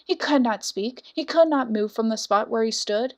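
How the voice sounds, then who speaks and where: Female storyteller, fairly high-pitched, for scripted fairy tale narration with deliberate, dramatic delivery.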